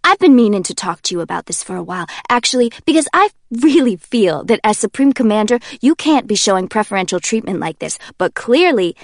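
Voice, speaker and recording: A woman voicing a brash, joking young man who is easily indignant, talking loud and high-strung, full of exclamations. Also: very animated, brisk, hardly any pauses, no echo, studio quality.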